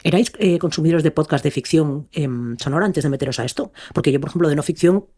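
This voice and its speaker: A man's voice: a little high, fast, dark tone. A man hosting a casual interview, asking questions and musing conversationally. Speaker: interviewer, podcast host.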